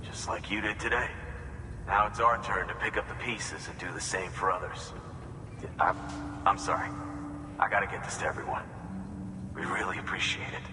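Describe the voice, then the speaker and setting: A man playing a weary, grateful survivor in a game cutscene, speaking earnestly and a little haltingly. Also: low-pitched, fairly even intonation, close to an everyday voice. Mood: apologetic, grateful. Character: grateful, weary male city survivor rebuilding with hope. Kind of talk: dramatic game cutscene acting. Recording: echoey hall, clear background noise.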